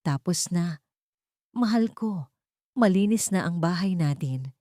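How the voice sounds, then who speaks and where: A remorseful, wealthy father in a romance audiobook , acted out by a woman narrator. He pleads earnestly and tenderly in a low voice, recorded dry. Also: expressive, a little slow, mellow, no echo. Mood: solemn.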